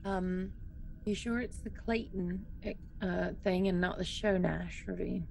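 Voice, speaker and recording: A woman's voice: fairly low pitch, fairly even intonation, slow pace, gravelly, darkish tone, a little hiss or hum, rough recording. A woman chatting casually and informally as a player in a roleplaying game. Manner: informal, reactive. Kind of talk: casual chat.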